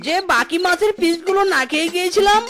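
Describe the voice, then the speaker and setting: A woman's voice: very high-pitched, quick pace. A woman voicing a doting, eager male fox husband in a cartoon, with a coaxing, repetitive way of talking. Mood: playful, coaxing. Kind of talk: exaggerated cartoon dialogue. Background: background music.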